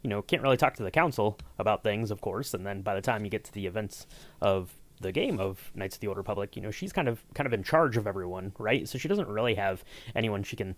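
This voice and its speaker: A man's voice: on the low side, lively intonation, fast. A man hosting a casual behind-the-scenes interview, chatty and explanatory, often checking in with the listener. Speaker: podcast host interviewing a writer.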